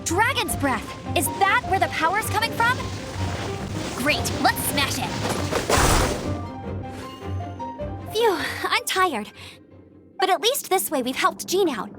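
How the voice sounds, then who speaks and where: A woman playing an energetic, earnest young knight scout in a video game, eager and talkative. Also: very high voice, normal speed, over loud music. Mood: excited.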